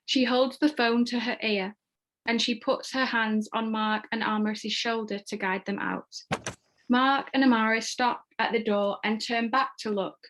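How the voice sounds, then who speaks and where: A woman's voice: a little high, fairly even intonation, a little slow, a bit bright. A woman narrating screenplay stage directions at a table read. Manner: reads screenplay action descriptively.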